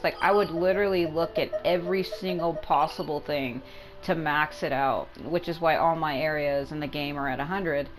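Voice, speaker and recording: A woman with a slightly low voice talks casually as she streams a video game, with faint music underneath. She rambles along conversationally, circling back over her points and leaning on them for emphasis. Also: low-quality recording. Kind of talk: casual gameplay commentary.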